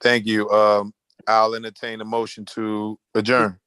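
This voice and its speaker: A man chairing a formal board meeting in a halting, procedural way, often restarting his sentences. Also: on the low side, completely flat delivery, a bit bright, clear, resonant tone, no echo. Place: virtual meeting call.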